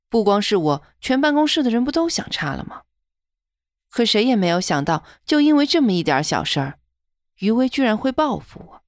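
A woman's voice: medium pitch, dry recording, clean studio sound. An actress playing a modest young female office worker in an audio drama, in first-person narration and dialogue. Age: young adult. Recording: music bed behind the voice.

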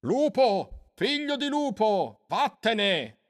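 A hostile crowd of superstitious villagers from a storybook. The cries are very high, thin and strained, drawn out into jeers and accusations. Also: male voice, big pitch swings, drawn-out words, long reverb tail, heavily acted. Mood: hostile, threatening. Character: angry mob of superstitious villagers, shouting accusations.

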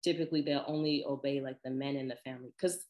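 Deep-voiced young woman, chatty and echoey. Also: fast talker. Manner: chatty, laughing, expressive asides. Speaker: vlogger. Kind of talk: casual personal storytelling to camera.

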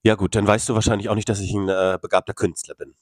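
A man's voice: lowish voice, level tone, quick pace, no echo, studio quality. A man chatting casually and jokingly as a podcast co-host. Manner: joking, banter. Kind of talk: casual chat.

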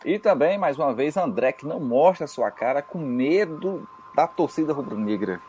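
A man's voice: fairly high pitch, normal speed, slight background noise. A man with a Brazilian Portuguese accent hosting a casual podcast, joking and bantering with his co-hosts. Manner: lively, jokey presenter banter. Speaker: podcast host.

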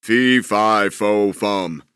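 A man putting on a roaring slow giant. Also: fairly bright tone, clean tone, dry recording, studio quality. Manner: booming, slow, menacing. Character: huge roaring bloodthirsty male giant.

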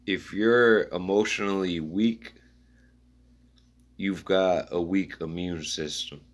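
A man musing aloud in a rambling spoken-word style, reading his own notes in halting fragments. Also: low voice, steady tone.

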